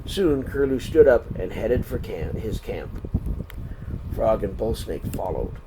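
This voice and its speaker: Slow, expressive man reading a children's story. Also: fairly low pitch, background noise. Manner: deliberate, expressive delivery with pauses between phrases. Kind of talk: read-aloud storytelling for young children.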